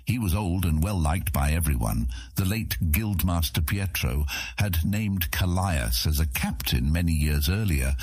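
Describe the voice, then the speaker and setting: Deep, expressive male fantasy narrator. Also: dry recording. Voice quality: deep, husky. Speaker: audiobook narrator.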